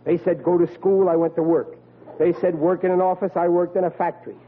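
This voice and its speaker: High-pitched blustering sitcom dad. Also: male voice, little pitch movement, brisk, husky. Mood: cocksure. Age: 40s. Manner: folksy, ungrammatical, blustering. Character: blustering, dim-witted forty-year-old working-class father, cocksure. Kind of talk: old-time radio situation comedy acting. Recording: tinny, hissy old radio broadcast.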